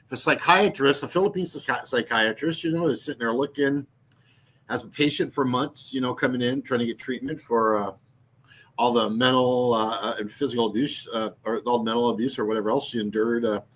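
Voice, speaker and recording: Rambling sixty-year-old man, bright voice. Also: medium pitch, a little fast, poor audio. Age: 60s. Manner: meandering with many fillers and restarts; mocking, laughs at own jokes. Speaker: YouTube channel host. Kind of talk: rambling casual vlog talk.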